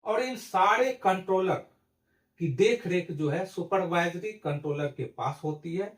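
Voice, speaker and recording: A man's voice: high-pitched, measured pace. A man giving an explanatory lesson in a didactic, step-by-step way. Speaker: educational presenter. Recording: roomy echo, distant microphone.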